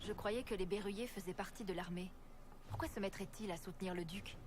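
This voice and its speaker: A woman's voice: medium pitch, brisk, close to an everyday voice. An actress playing a serious, inquiring woman in scripted fantasy video game dialogue. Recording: very noisy game audio capture.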